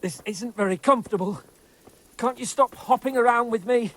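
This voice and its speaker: An actor playing a grumbling, sarcastic nobleman on a raid in a medieval video game, exasperated and quick to swear. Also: extremely high pitch, a bit bright. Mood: panicked complaining. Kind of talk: dramatic video game cutscene acting.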